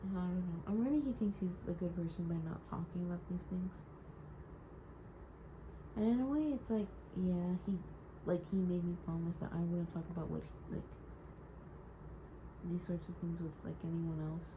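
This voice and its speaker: A woman's voice: fairly low pitch, moderate pace, long pauses. Rambling young woman with lo-fi hiss. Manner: rambling, hesitant, heavy use of "like"; hurt and wry, holding back tears. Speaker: audio diarist. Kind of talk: personal audio diary monologue. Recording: dull lo-fi recording with clear background noise.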